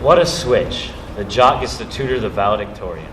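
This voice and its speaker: Distant in an echoey room, a young man acts a devoted, insistent teenage jock in a school play. His medium-pitched voice moves in lively swings. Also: fairly quick, close to an everyday voice. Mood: earnest, teasing. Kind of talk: stage acting in a school play. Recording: echoey, distant, noisy stage recording.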